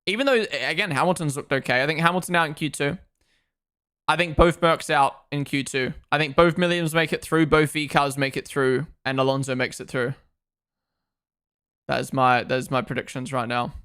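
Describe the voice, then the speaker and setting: A young man with a hybrid Kiwi-Australian accent, chatting through a live racing watchalong. His voice is bright and clear, fairly high for a man, and he talks loosely with quick asides and sudden loud reactions. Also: steady tone. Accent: mixed New Zealand and Australian. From New Zealand. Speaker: streamer hosting a watchalong. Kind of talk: casual live commentary, reading chat.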